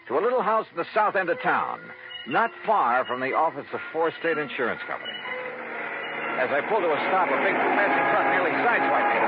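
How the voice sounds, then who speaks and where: Wry, tough investigator played by a man, animated old-time radio narration, dull band-limited sound. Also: mid-range voice, natural voice. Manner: wry, clipped, tough-guy delivery. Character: hardboiled male insurance investigator, wry, tough, self-assured. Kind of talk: 1950s radio drama acting and first-person narration. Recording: muffled vintage radio recording, music behind.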